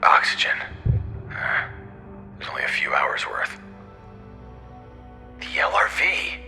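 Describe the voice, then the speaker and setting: Desperate stranded astronaut, wildly swinging pitch. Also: male voice, wildly swooping pitch, slow pace, bright, forceful tone, faint music behind, full character voice. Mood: strained, determined. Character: stranded, desperate male astronaut talking to himself. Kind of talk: dramatic audio drama monologue.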